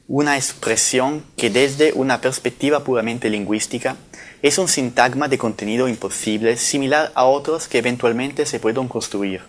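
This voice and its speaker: A man from Italy with an Italian accent, whose first language is Italian, giving a formal academic lecture in Spanish that he reads from notes in a measured way with the odd self-correction. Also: medium pitch. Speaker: conference speaker.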